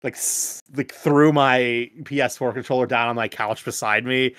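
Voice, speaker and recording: A young American man telling anecdotes in a casual group chat, halting and rambling, with some swearing. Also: medium pitch, steady tone, fairly bright tone, clear, resonant tone, no echo. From United States.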